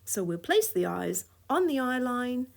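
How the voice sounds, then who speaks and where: A woman's voice: high-pitched, very animated, unhurried, gravelly. Scratchy-voiced woman teaching drawing. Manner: playful, chuckles often. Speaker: drawing instructor. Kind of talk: drawing tutorial voice-over.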